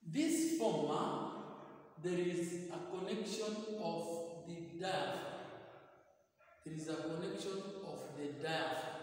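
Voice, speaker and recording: A middle-aged man teaching at a whiteboard, explaining deliberately and repeating key phrases, recorded in a classroom. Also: high voice. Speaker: physics teacher. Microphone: camera a few metres away. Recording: very echoey room, distant mic.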